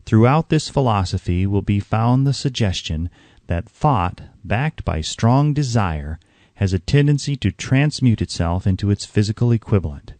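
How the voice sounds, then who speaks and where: A man reading a scripted audiobook narration in a measured, oratorical, earnest manner. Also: fairly low pitch, animated, darkish tone, no room sound.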